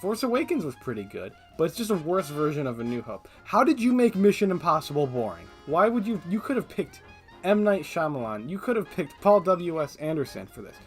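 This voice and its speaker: A man's voice: a little high, sing-song, a little fast. A man giving casual, opinionated scripted commentary as a video essayist, with a sarcastic streak. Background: background music.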